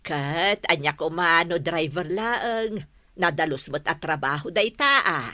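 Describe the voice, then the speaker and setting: An actress playing a chatty, scornful village neighbour in an Ilocano radio comedy drama, speaking with an Ilocano accent. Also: female voice, low voice, expressive, a little slow, sharp tone, dry recording, clean studio sound, exaggerated voice. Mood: gossipy, scornful.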